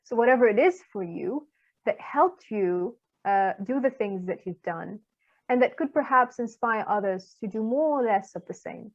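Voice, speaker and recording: A woman with a somewhat high voice hosts a podcast interview. She builds her questions slowly and carefully, clause by clause. The recording sounds muffled and lo-fi. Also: lively intonation. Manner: long, carefully built questions. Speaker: podcast host.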